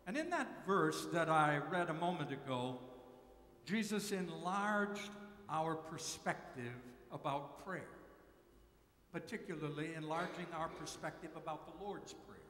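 An older man preaching a sermon from a pulpit, with measured, slowly repeated emphasis. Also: a little high, expressive, fairly bright tone. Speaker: pastor. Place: large church sanctuary at a pulpit. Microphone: pulpit mic, far camera. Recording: echoey church hall.

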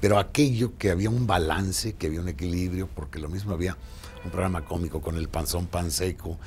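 A man's voice: low voice, fast, a little mellow, slight background noise. An older man with a Mexican accent, giving his opinions in a documentary interview.

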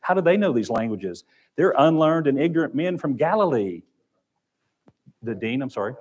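A man's voice: mid-range voice, big pitch swings, normal speed, darkish tone, husky. Man teaching a church Bible class. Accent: Oklahoma. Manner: conversational, repeats phrases, invites comments. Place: church classroom with projector screen.

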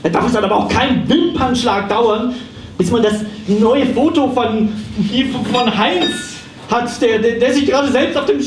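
A man's voice: extremely high pitch, moderate pace. A man playing a muddled, ranting psychotherapist who stammers and exclaims in a live comedy radio play. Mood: exasperated ranting. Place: small dark theatre stage, live. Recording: echoey, noisy stage recording from far off.

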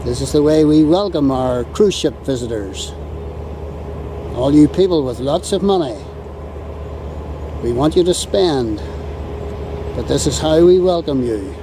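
A Northern Irish man filming a harbour outdoors, ranting sarcastically in an exasperated, drawn-out way. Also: fairly high pitch. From Northern Ireland. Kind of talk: ranting handheld commentary. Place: outdoors on a windy harbour quay. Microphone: camera mic while filming. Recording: outdoor camera audio with steady wind and traffic noise.

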